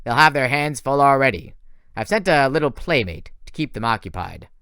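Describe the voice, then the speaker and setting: Brutal stone-faced general, deep and booming; a male reader voices him. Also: animated, moderate pace, pushed and bright, clean tone, no echo, clean studio sound. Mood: calm.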